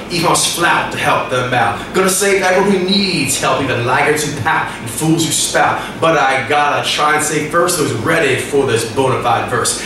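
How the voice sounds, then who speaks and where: A man in his thirties performing rhymed spoken-word poetry in a declamatory, rhythmic way, at a stand microphone in a small indoor venue. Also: on the high side. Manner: declamatory, emphatic, rhythmic delivery. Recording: echoey live room, distant mic, clear noise.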